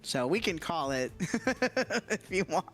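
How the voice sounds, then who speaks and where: A woman's voice: fairly low pitch, sing-song, takes it slowly. A woman chatting casually as a guest on a livestream, easygoing and giggly, with music behind her. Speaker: co-streamer, guest voice actor.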